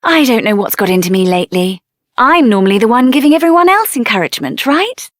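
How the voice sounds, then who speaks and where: An actress voicing a spirited, headstrong young woman adventurer in a video game, expressive and earnest, with a tender side. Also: on the high side, fast, no echo, studio quality. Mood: troubled, downcast.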